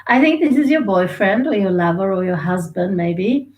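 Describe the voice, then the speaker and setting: A woman's voice: medium pitch, normal speed, highs cut off, slight background noise. A woman, from Sweden and Australia, giving a chatty, rambling live tarot reading and laughing easily. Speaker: tarot reader.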